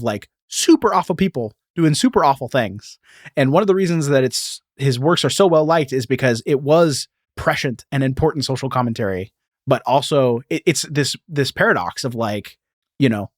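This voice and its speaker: A man's voice: mid-range voice, dry recording, studio quality. A man hosting a podcast, telling stories and explaining history in an informal, digressive way with frequent asides. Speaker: podcast host. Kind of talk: casual explanatory storytelling about film and history.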